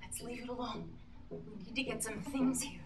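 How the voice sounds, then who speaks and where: A woman's voice: fairly high pitch, lively intonation, moderate pace, noisy background. A woman playing an anxious, blindfolded young mother talking to her dog, urgent and dramatic, in an old-time radio play style. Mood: wary. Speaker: actor in a live staged reading. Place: magazine-lined shop, indoors, small live audience. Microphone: camera in the audience, some distance away. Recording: distant mic, loud live instrumental music. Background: live violin and keyboard music.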